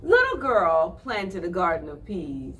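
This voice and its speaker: A woman telling a children's story with deliberate phrasing, addressing young listeners directly. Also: lowish voice, huge pitch swings, fairly bright tone, distant mic, slight background noise.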